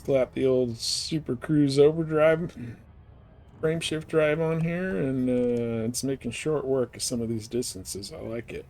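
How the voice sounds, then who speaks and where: A man's voice: medium pitch, fairly even intonation, unhurried, darkish tone. A man giving casual, folksy live commentary as he streams a game, laid-back in manner.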